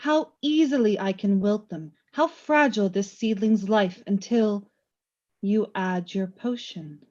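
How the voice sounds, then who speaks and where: A woman acts out Death as a female spirit in a radio drama. She is calm, knowing and taunting, with solemn, measured, theatrical delivery. The sound is slightly dull. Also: mid-range voice, natural voice. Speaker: voice actor. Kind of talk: radio play acting.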